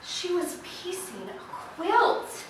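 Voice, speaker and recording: Heard across a very echoey stage with faint noise, this is a timid sheriff's wife, acted by a woman. Her high voice moves in lively swings while staying polite and hesitant. She sounds dutiful and anxious about rules, as in a live amateur play. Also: very slow, recorded from a distance, heavily acted. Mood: nervous, curious. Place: indoor theater stage, distant microphone.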